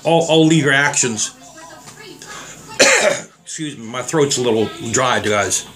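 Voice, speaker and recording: A slightly hoarse man talking casually and rambling as he tests trigger locks on guns for his viewers. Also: medium pitch, takes it slowly. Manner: rambling, plain-spoken, addresses viewers as guys. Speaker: gun reviewer. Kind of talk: casual demo vlog.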